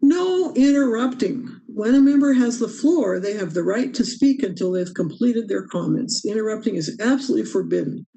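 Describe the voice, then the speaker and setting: A woman's voice: on the high side, expressive, moderate pace, vocal fry, few pauses, mellow, poor audio. A woman presenting a training session on meeting procedure over a video call, earnest and emphatic as she stresses key rules. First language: English. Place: home or office on a video call. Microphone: video call microphone.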